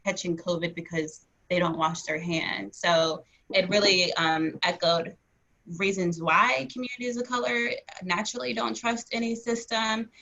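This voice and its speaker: A woman's voice: medium pitch, steady tone, measured pace, bright, forceful tone. A woman who works as an assistant director, reporting back to an online group discussion. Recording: dull, lo-fi online call audio.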